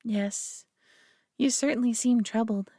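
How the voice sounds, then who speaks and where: A woman's voice: fairly high pitch, steady tone, slow, dry recording, clean studio sound. A woman voicing a prideful, doting alien who owns a human pet, teasing and condescending yet affectionate in an intimate audio roleplay. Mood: teasing, coaxing. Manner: teasing, condescending, affectionate, often hushed and breathy.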